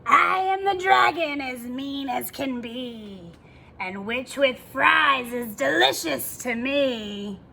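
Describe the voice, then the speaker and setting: A woman acts out a mean and hungry storybook dragon. It boasts loudly and menacingly, drawing its words out slowly with big swings in pitch. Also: expressive, vocal fry, slight background noise, full character voice.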